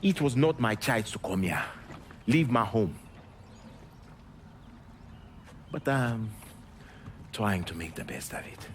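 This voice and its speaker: A man playing a bitter, defiant Haitian smuggler and former priest in a video game, with a Haitian accent and a mocking, guarded, deliberate delivery. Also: fairly low pitch, big pitch swings, a little hiss or hum. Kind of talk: video game cutscene dialogue.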